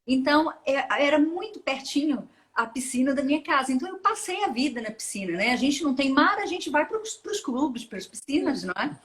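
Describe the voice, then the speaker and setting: A woman's voice: high-pitched, lively intonation, brisk, hardly any pauses, a bit bright. Chatty laughing woman from Minas Gerais. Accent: Minas Gerais Brazilian Portuguese. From Brazil, Minas Gerais. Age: 40s. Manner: chatty, laughs often, rambling with restarts. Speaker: guest, open-water ultramarathon swimmer. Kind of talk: casual interview chat.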